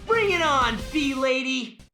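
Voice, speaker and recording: A woman voicing a wisecracking, theatrical cartoon hero creature in an animated video game. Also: high voice, a little slow, roomy sound, recorded from a distance. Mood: defiant. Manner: jokey, theatrical. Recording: loud music behind voice.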